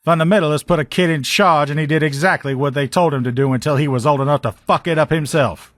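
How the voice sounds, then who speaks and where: A man's voice: mid-range voice, a bit bright, no echo, studio quality, natural voice. A man voicing a plain-spoken, loyal power armor lieutenant in a video game, talking in a blunt, folksy, colloquial way. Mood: blunt disdain. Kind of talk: video game character dialogue.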